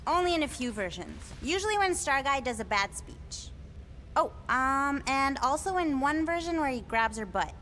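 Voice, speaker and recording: A woman's voice: sits high, very animated, moderate pace, a bit bright, slight background noise. A woman voicing a quirky, cheerful alien seer in a video game, whimsical and oddly candid. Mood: musing.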